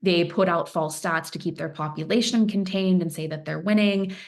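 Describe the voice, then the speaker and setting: An earnest woman gives a webinar briefing as an analyst, leaning on words and repeating phrases to drive points home. Her voice sits a little low for a woman, and she talks steadily with the odd "um". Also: normal speed. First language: Farsi speaker. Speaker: threat intelligence analyst presenting. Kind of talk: webinar presentation.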